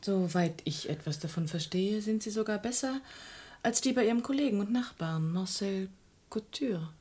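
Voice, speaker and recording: A woman's voice: mid-range voice, lively intonation, moderate pace, darkish tone, husky. An actress playing a clever, teasing young woman journalist with a wry, probing, poised manner in a German radio crime drama. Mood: polite, probing. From Florence, Italy (as the figure). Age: young adult.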